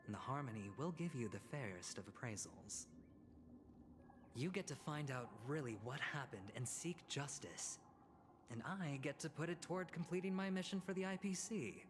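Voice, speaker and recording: An actor playing a smooth, scheming young corporate negotiator and gambler in a video game, with sly, teasing pauses. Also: male voice, medium pitch, animated, background noise, close to an everyday voice. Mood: breezy persuasion.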